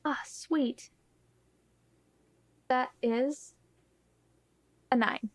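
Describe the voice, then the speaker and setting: Slow-paced woman at a game table. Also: high voice, fairly even intonation. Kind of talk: casual game-table chat.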